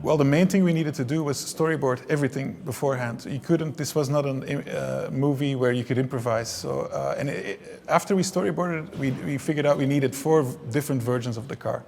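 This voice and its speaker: Man, gravelly voice, from Belgium, Dutch native speaker, stop-start interview answers. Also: mid-range voice, a little fast, hardly any pauses, darkish tone. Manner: hesitant, many restarts and fillers. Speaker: film director.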